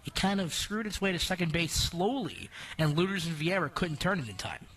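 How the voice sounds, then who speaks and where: A man's voice: high-pitched, lively intonation, a little fast, fairly bright tone, recorded from a distance, poor audio. A man giving conversational, explanatory color commentary on a radio baseball broadcast. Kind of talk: analytical radio sports commentary.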